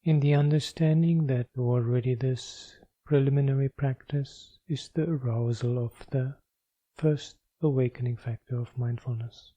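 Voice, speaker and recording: A man leading a guided meditation as a teacher, speaking in measured, deliberate phrases of gentle instruction. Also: mid-range voice, steady tone, no room sound, lo-fi sound.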